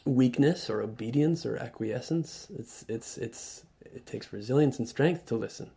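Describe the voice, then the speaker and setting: A man's voice: mid-range voice, vocal fry. A man speaking as a guest on a radio show, earnest and reflective, often restarting his sentences. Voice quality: loud voice. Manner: earnest, halting with many restarts. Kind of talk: reflective conversational talk. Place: radio studio.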